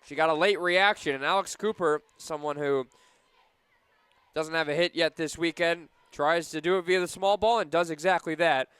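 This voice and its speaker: A man with a fairly high, very smooth voice works as a radio play-by-play announcer at a softball game. He is outdoors yet sounds dry and close, and he moves between conversational description and punchy calls. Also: dry recording. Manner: animated, punchy calls on big plays, conversational between them.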